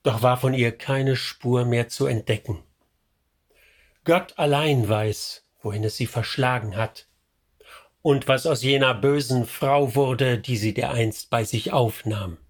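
A devout medieval wanderer telling his tale in a radio play. He speaks slowly and gravely, every sentence weighed. His voice carries a soft husky grain. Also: medium pitch. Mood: solemn. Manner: solemn, measured storytelling. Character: pious medieval man recounting a hard pilgrimage. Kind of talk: radio drama narration.

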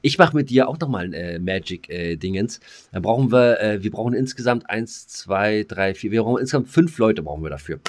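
A man with a very low voice, recorded very dry and close. He talks in a laid-back, slangy way, chatting casually as a podcast co-host. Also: expressive, brisk, no echo. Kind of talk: casual chat.

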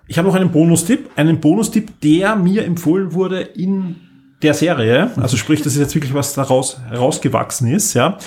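A man's voice: fairly high pitch, normal speed, a little mellow. A man chatting casually as a podcast co-host, explaining things in an enthusiastic, rambling way. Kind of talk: casual podcast chat, recommending audio dramas.

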